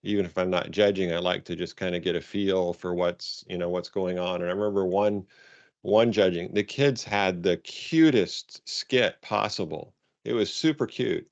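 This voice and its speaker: A man giving informal guidance to coaches on a conference call, halting and rephrasing as he goes. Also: low voice. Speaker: robotics coaching advisor leading a conference call. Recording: dull, roomy conference call audio.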